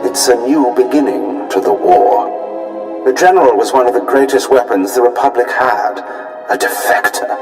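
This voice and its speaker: A man's voice: mid-range voice, lively intonation, normal speed, lightly acted. A man acting a smug, genteel imperial commander in a video game, speaking with formal, suave assurance. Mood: smug satisfaction. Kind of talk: scripted video game dialogue. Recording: echoey transmission with music underneath. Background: game music.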